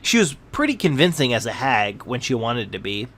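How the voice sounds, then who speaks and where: Man, storyteller, scripted narration, fantasy tale read aloud, medium pitch. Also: sing-song, normal speed, fairly bright tone.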